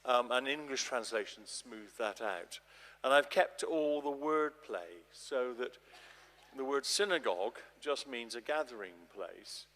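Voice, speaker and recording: A man speaks informally to explain his translation, at a medium pitch. He restarts phrases as he goes, and his voice carries a long church reverb. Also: animated, a little slow, fairly bright tone. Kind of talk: informal public talk. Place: large church, addressing an audience.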